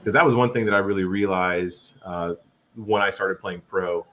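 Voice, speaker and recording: A man's voice: sits low, normal speed, fairly bright tone. A man in his thirties, an assistant volleyball coach, talking casually in an interview with many fillers and restarts. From Portugal.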